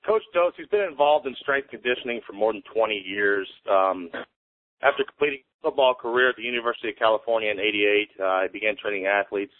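A man hosting a podcast interview in a casual way, introducing his guest with frequent ums and pauses. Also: lowish voice, no echo, narrow, tinny audio. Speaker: podcast host, strength coach.

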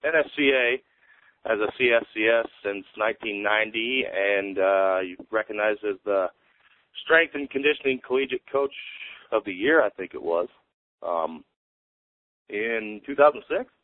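A man hosting a podcast interview in a casual way, introducing his guest with frequent ums and pauses. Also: on the low side, phone-quality sound. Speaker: podcast host, strength coach.

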